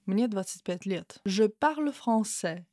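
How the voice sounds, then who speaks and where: A young woman gives a language lesson in a small room, close on a studio mic. Her voice is mid-range and the sound is dry, with no room echo. She says the example phrases slowly and clearly and moves through her explanations at a quicker pace. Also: medium pitch, expressive, mellow. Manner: slow, careful French examples between quick explanations. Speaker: French teacher. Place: small room with a window, indoors. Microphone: studio mic in front of her.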